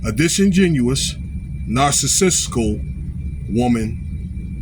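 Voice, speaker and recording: A man with a mid-pitched voice delivers off-the-cuff commentary. His delivery is halting and informal, punctuated by small check-in phrases. The recording has clearly audible background noise. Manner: halting delivery, frequently checks in with "okay" and "all right". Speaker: online commentator.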